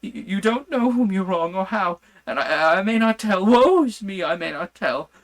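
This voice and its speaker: A man voicing a frantic asylum inmate who begs desperately, in a high, strained voice. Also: lively intonation, moderate pace. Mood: desperate pleading. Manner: desperate, high-pitched pleading.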